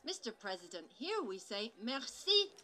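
A woman voicing an excitable little girl adventurer in a live puppet show, exclamatory and sing-song. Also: on the high side, normal speed. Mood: perky. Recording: faint outdoor background noise.

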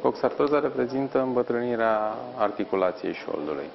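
A middle-aged man, an orthopedic surgeon, answering questions in a live TV interview from a hospital ward, measured and explanatory with frequent pauses. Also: mid-range voice, expressive, vocal fry, lo-fi sound. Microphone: lapel mic.

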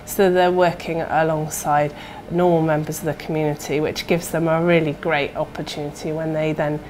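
A woman's voice: deep voice, little pitch movement, normal speed, a little mellow, slight background noise. A British woman in her thirties speaking in an interview as a cafe manager, on a lapel mic indoors. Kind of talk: interview testimonial. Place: indoors in a small cafe room.

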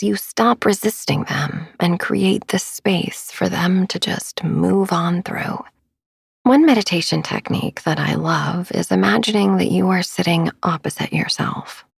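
A woman narrating a sleep meditation in a soft, breathy, gravelly voice with a soothing, unhurried delivery. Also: fairly low pitch, dry recording, studio quality. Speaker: podcast host and meditation guide.

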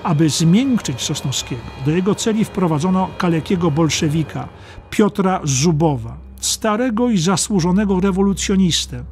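A man narrating a historical audiobook from a script in a deliberate, dramatic storytelling way. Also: high voice, animated, darkish tone, faint music behind.

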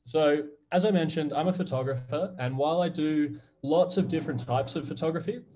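An Australian man in his thirties, presenting to a class of kids over a video call. He sounds friendly and upbeat, with a middle-pitched voice. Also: mellow. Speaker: guest presenter.

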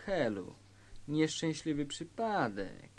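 A man's voice: on the high side, very animated, takes it slowly, a little mellow, full character voice. A man playing a scheming fireman in his early thirties in a comic crime radio play, conspiratorial and coolly persuasive. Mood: coolly boastful.

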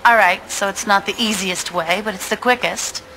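A stubborn young gang lieutenant from a video game. Her medium-pitched voice is crisp and forward, sassy and casual in delivery. Light ambience runs underneath. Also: moderate pace, sharp tone, a little hiss or hum, poor audio. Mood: teasing. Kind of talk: video game cutscene acting.

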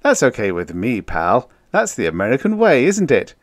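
A man's voice: on the low side, sing-song, normal speed, dry recording, clean studio sound, voice pushed up. A man voicing a jovial, teasing spaceman with a slangy, wisecracking manner in a story reading. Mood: good-natured teasing.

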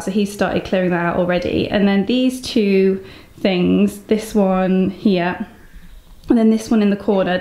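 A woman's voice: mid-range voice, darkish tone. A woman in her thirties with an English accent, chatting casually and enthusiastically as she vlogs. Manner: enthusiastic, gushing. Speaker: vlogger. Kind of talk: casual vlog tour, chatty. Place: home room, car, brick barn and yard. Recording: echoey rooms, noticeable background noise.

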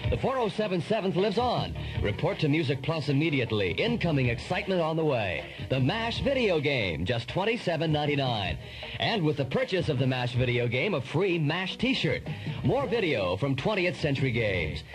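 A man announces a scripted radio commercial in 1980s style. His pitch sits a little high and swings widely. Music plays behind him. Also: big pitch swings, moderate pace.

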